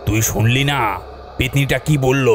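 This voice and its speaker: A man's voice: medium pitch, steady tone, moderate pace, a little hiss or hum, lightly acted. A man voicing a bossy, unworried uncle in a comic cartoon, teasing and commanding. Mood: unworried, genial. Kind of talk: cartoon voice acting.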